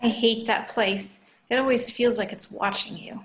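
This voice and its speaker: A woman's voice: a little high, steady tone, normal speed, slight background noise, lightly acted, low-quality recording. A woman reading the part of a frightened but brave teen girl, tense and pleading, at an amateur table read. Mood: uneasy.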